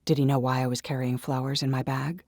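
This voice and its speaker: A man delivering dramatic first-person scripted story narration in an intense, deliberate manner. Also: medium pitch, flat delivery, darkish tone. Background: music.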